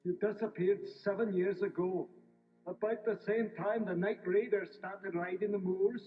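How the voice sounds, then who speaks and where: A polite, nervous castle servant acted by a man speaks in a high male voice in a storybook drama. The sound is tinny and narrow, with faint music. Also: fairly even intonation, normal speed, recorded from a distance, lightly acted. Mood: ominous. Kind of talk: children's storybook audio acting. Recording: tinny band-limited toy tape.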